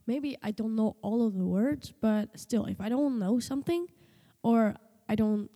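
A woman's voice: fairly high pitch, lively intonation, a little slow, dark tone, big-room sound. A teenage girl from Slovenia, a native Slovenian speaker, chatting casually and hesitantly in an interview with many fillers and restarts. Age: teens. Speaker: guest, host's daughter.

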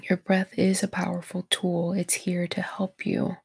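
A woman leading a guided meditation in a soft, breathy, near-whispered voice, gentle and encouraging toward the listener. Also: lowish voice, completely flat delivery, measured pace. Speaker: meditation guide, podcast host.

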